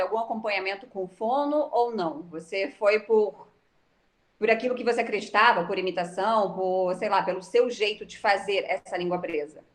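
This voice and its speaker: A woman's voice: medium pitch, a bit bright. A woman from Brazil, a theatre teacher, asking long, hesitant questions on an online video call.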